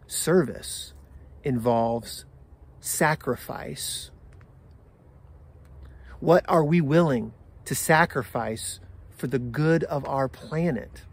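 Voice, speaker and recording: A man in his forties with an American accent, a pastor giving a sermon in measured phrases with deliberate pauses. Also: fairly high pitch, husky. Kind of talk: sermon for an online service. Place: outdoors in a garden.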